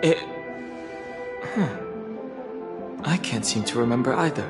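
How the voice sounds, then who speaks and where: A man voicing a calm, terse, reserved young man in a video game. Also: mid-range voice, slow, loud music behind the voice.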